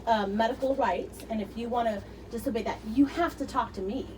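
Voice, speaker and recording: A woman with a loud voice, firmly and insistently arguing with hospital staff, recorded on a phone. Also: fairly high pitch, a little fast, a little hiss or hum, rough recording. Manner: firm, insistent, argumentative. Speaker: patient's companion, filming a confrontation. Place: hospital unit and hallway. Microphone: handheld phone recording.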